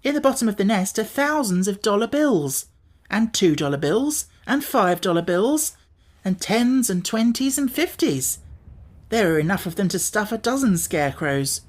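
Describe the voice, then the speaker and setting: A woman voicing an eager, clever young boy in a storybook reading, in a bright, excited manner. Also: mid-range voice, moderate pace, voice pushed up.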